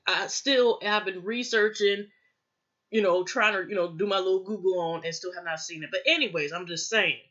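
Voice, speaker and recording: A woman's voice: medium pitch, normal speed, a bit bright, dull audio. A woman recapping a TV episode in a loose, rambling way, with lots of fillers and restarts. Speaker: TV show reviewer.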